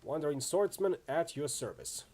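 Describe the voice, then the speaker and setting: A man's voice: a little high, big pitch swings, normal speed, darkish tone, husky, heavily acted. A man voicing a friendly, easygoing orc swordsman in a video game, chatty and full of laid-back street slang. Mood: friendly, breezy. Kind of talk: acted reading of role-playing game dialogue.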